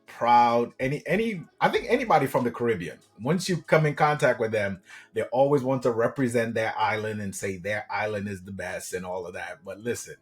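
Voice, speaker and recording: A man's voice: medium pitch, a little fast, faint music behind. A man from Trinidad and Tobago, a podcast guest telling personal stories in an enthusiastic, conversational way. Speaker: podcast guest, business coach. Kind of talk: casual personal storytelling.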